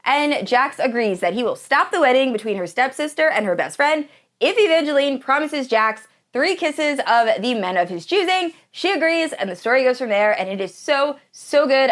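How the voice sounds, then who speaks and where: A woman in her thirties with a fairly high voice talks casually to her viewers about books. Her delivery is enthusiastic and gushing, in the informal style of a book vlogger. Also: lively intonation, normal speed, fairly bright tone. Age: 30s.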